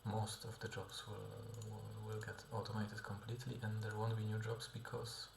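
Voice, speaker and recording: A man's voice: lowish voice, monotone, normal speed, vocal fry, reverberant, slight background noise. A man talking through a casual video diary, hesitant and full of fillers and restarts, often dropping to a half-whisper. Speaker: vlogger and musician.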